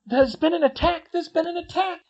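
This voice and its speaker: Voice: extremely high pitch, normal speed, a little mellow, highs cut off, voice pushed up. A reader voicing a frantic teenage girl student urgently bringing bad news. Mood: alarmed.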